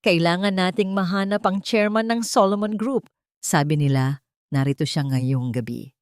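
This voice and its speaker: A woman with a Filipino accent voicing an anxious, domineering older society mother in an audiobook, hushed and urgent. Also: fairly low pitch, moderate pace, clear, resonant tone, no echo, studio quality, natural voice. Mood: tense, bossy.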